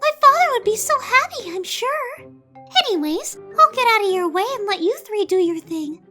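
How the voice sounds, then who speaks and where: A woman's voice: extremely high pitch, lively intonation, moderate pace, sharp tone, soft background music, heavily acted. A woman playing a sweet, bubbly, gracious young princess in a cartoon comic dub, sugary, polite and girlish.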